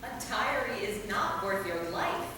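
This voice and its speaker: A woman's voice: medium pitch, unhurried, lightly acted. A woman acting a worried, loyal, sensible vampire with a firm, earnest delivery in a staged play reading. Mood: worried, determined. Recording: echoey hall from far off, faint noise.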